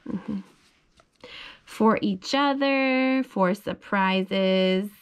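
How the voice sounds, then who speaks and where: A young mother reading a picture book aloud to her toddler at bedtime, slow and sing-song, asking coaxing questions about the pictures. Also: medium pitch, clean tone. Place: child's bedroom at home. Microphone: phone held above the reader's lap.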